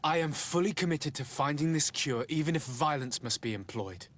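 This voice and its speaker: A man's voice: a little high, expressive, normal speed, fairly bright tone, a little hiss or hum. A man playing an earnest, courteous and resolute young nobleman envoy in a video game. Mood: firm, resolute.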